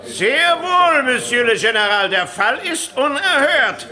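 High, pompous, blustering man as judge. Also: big pitch swings, unhurried, sharp tone, heavily acted. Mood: blustering, indignant. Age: older. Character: pompous, excitable justice minister presiding as judge. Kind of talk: satirical radio drama acting.

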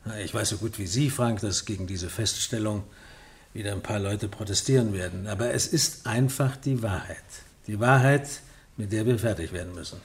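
An actor playing a worldly, middle-aged male senior police officer in a radio drama, deliberate and opinionated. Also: on the low side, natural voice. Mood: earnest, opinionated. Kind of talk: German radio drama acting.

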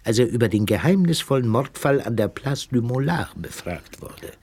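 An actor playing a smug, pompous, self-serving Soviet spy chief in a German radio drama. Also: male voice, mid-range voice, big pitch swings, moderate pace, darkish tone, husky. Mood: pompous, scheming. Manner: smug, pompous when dictating.

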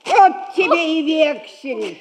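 An older actress playing a shrewd, domineering old merchant matriarch in a 1960s Russian radio comedy, drawling in a folksy, mocking way. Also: female voice, high-pitched, animated, takes it slowly, a little mellow, a little hiss or hum. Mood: commanding. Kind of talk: classic Russian stage comedy acting, 1960s radio play.

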